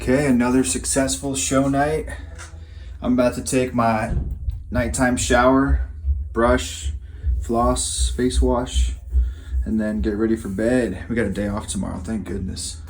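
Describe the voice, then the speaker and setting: A young man with a slightly hoarse voice from a cold, rambling casually about life on tour, filming himself on a phone outdoors by a bus. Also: medium pitch, little pitch movement, measured pace, low-quality recording. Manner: laid-back, rambling, frequent "uh" and "like". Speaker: touring band crew member. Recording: loud music over the voice.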